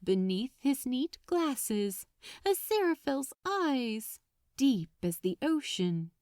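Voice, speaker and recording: A woman reading fiction aloud as an audiobook narrator, in an expressive scripted style. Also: high voice, takes it slowly, dry recording, studio quality.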